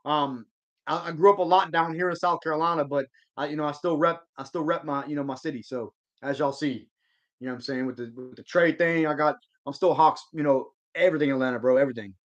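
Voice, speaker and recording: A man's voice: fairly high pitch, fast talker, muffled recording. A man hosting a live sports stream, chatting casually and answering viewer comments in a rambling, slangy way. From Georgia. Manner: laid-back slang, rambling restarts.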